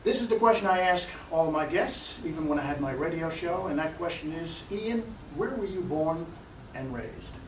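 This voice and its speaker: A man hosting an interview show, asking his questions in a deliberate, formal way. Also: fairly high pitch, expressive. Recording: roomy, distant mic, faint noise.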